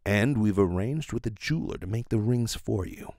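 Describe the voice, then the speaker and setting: A man playing a genial, calm detective hero talking to young listeners in an old radio serial. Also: on the low side, normal speed, no echo, studio quality, voice pushed down. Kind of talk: 1930s radio serial acting.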